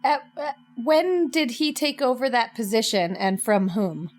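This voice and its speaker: A woman's voice: a little high, unhurried, faint music behind. A woman playing a tabletop role-playing game, casually asking about the story.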